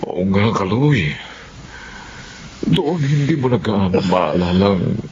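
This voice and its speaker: A man's voice: on the low side, very animated, slow, darkish tone, full character voice. A man playing a stern, protective older brother in a Filipino radio drama, blunt and admonishing. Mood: advising. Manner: emphatic, admonishing. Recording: dull lo-fi audio, faint noise.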